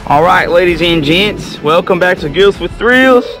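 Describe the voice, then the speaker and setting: A man's voice: sits high, lively intonation, moderate pace, over music. A young man vlogging from a kayak on an open lake, talking casually and hollering when fish bite, recorded on an action camera. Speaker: fishing vlogger. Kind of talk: casual on-the-water commentary.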